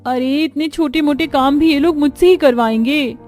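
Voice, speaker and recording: A woman's voice: high voice, normal speed, darkish tone, soft background music, voice pushed up, natural voice. A woman voicing a put-upon but plucky young city-bred daughter-in-law in a cartoon story, with dramatic, exclaiming delivery. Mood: grumbling, weary. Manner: exclaiming, self-pitying, dramatic. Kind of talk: Hindi cartoon story dialogue.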